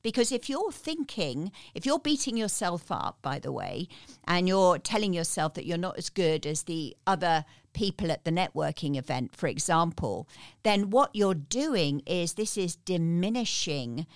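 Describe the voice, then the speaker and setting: A woman's voice: lowish voice, big pitch swings, normal speed, dry recording, clean studio sound. A woman guest on a podcast, earnestly explaining energy techniques and repeating her key words. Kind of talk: podcast interview.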